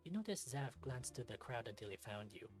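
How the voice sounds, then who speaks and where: Casting: story narrator for a visual novel let's-read, medium pitch, expressive reading, music underneath. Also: brisk.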